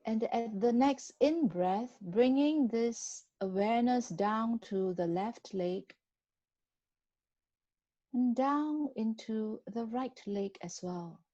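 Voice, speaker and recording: A woman guiding a body scan meditation in a gentle, unhurried manner with long pauses between phrases. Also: fairly high pitch, no echo, muffled recording. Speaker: meditation guide.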